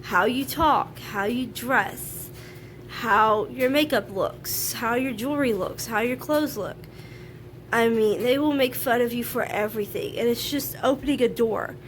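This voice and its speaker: A woman's voice: on the high side, a little slow, slight background noise. A woman who sounds nasal from a sinus infection, talking frankly and chattily to camera about her views at home. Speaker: vlogger. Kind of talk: casual talk to camera. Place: at home, laundry running.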